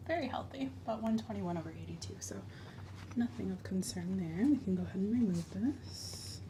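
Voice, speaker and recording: A soft-spoken woman who often drops into a breathy whisper, gently explaining each step as a medical examiner in an ASMR roleplay. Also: medium pitch, brisk, noisy background.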